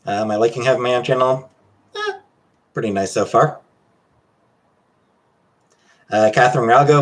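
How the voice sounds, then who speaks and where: A man with a Canadian accent chatting casually on a livestream as he answers questions, halting and hesitant, often trailing off. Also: fairly low pitch. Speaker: livestream host.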